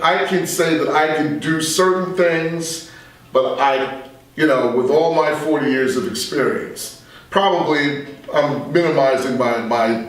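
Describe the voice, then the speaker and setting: An American man in his sixties speaking as a veteran radio journalist in a reflective interview, measured and halting with emphatic asides. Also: mid-range voice, far from the mic. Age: 60s. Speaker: veteran radio journalist and talk show guest. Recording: very echoey room, off the mic.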